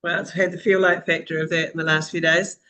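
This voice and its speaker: A woman's voice: sits low, flat delivery, fast, sharp tone. A woman hosting a casual group video call in an informal, teasing way. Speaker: host.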